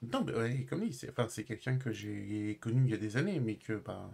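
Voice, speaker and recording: A man playing a hesitant, reserved character in improvised roleplay, speaking halting conversation that often trails off. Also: on the low side, expressive, vocal fry, darkish tone, husky, full character voice.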